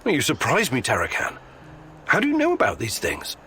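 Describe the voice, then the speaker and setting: Earnest, bright-voiced scientist. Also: male voice, medium pitch, wildly swooping pitch, moderate pace, slight background noise. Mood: patient, explanatory. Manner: explanatory, serious. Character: earnest, determined male scientist, measured and guarded. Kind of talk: video game character acting.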